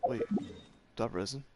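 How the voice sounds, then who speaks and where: A man's voice: mid-range voice, wildly swooping pitch, moderate pace, slight background noise. A man giving casual, slangy reaction commentary as he plays a game on stream.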